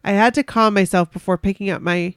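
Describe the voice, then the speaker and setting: A woman's voice: mid-range voice, brisk, clear, resonant tone, no room sound, clean studio sound. A woman co-hosting a podcast, casually chatting and reading stories aloud in a giggly, gushing way. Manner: giggly, gushing, reacts excitedly. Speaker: podcast co-host.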